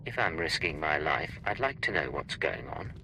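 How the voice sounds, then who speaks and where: A man playing a cool, confident, smooth-talking secret agent in a video game. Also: extremely low pitch, expressive, moderate pace, bright, forceful tone, a little hiss or hum, heavily acted, rough recording. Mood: probing. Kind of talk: scripted video game acting.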